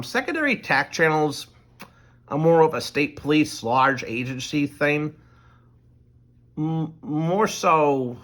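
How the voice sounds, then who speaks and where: A man's voice: medium pitch, fairly bright tone. Rambling middle-aged man explaining radio. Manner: rambling, loose, full of ums and restarts. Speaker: channel host. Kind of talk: casual explanatory monologue.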